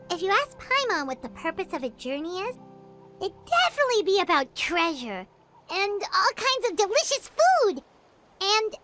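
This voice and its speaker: A woman voicing a small, bubbly, eager fairy companion in a video game, with a squeaky childlike voice and exclaiming manner. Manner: refers to itself by name, exclaiming.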